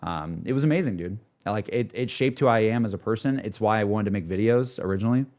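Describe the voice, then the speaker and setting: A man's voice: on the low side, quick pace, darkish tone. A young adult man chatting casually on a livestream, rambling enthusiastically about gaming in a self-deprecating way. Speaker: streamer.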